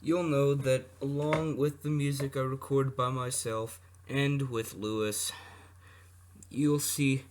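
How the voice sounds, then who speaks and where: A man's voice: medium pitch, steady tone, slow pace. Casual man rambling over a noisy recording. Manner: rambling, off-the-cuff, with asides and self-corrections. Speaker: hobbyist video presenter showing vintage electronics. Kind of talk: casual unscripted product demonstration. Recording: noisy amateur recording with clicks.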